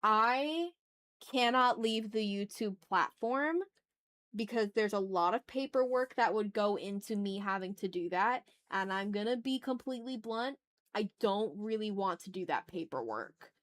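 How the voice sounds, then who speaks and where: A woman's voice: mid-range voice, animated, a little slow, a bit bright. A woman chatting casually on an art livestream, thinking aloud with self-deprecating remarks and some swearing. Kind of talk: casual livestream chat while drawing.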